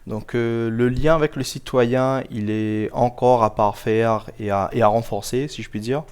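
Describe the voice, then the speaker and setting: A man giving explanatory answers as an interview guest, measured and formal, pausing often to choose his words carefully. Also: mid-range voice, steady tone, a little mellow, smooth. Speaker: guest, local government project officer.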